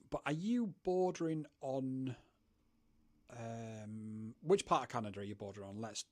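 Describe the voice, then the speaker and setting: A man from the UK, hosting a rambling, chatty livestream from his home studio and talking to viewers in chat. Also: mid-range voice. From United Kingdom. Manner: rambling, chatty, self-deprecating. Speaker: livestream host.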